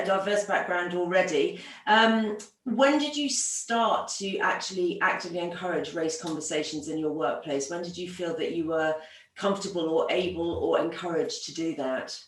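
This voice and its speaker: A woman's voice: on the low side, moderate pace. A woman hosting an online event, introducing a guest speaker and putting questions to them. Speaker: host and moderator. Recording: roomy, distant microphone.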